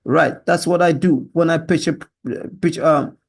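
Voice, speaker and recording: A Yoruba-speaking Nigerian man with a learned British accent, pitching himself as a salesman in an assertive monologue, often tagging statements with a questioning yeah or right. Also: unhurried, gravelly. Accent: learned British with Nigerian influence. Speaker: sales closer, job applicant. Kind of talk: self-presentation monologue to camera.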